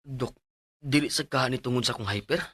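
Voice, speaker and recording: An actor with a Cebuano accent playing an anxious male patient with high blood pressure in a Bisaya radio drama. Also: brisk, a bit bright, slightly rough, no echo, studio quality. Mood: meek, concerned. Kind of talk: Bisaya radio drama acting and letter narration.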